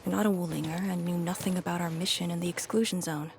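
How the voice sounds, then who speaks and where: A woman's voice: on the low side, fairly even intonation, fast talker, gravelly, a little hiss or hum. A woman voicing a composed, blunt, brooding woman warrior in a video game, with a dry, understated delivery. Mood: reflective.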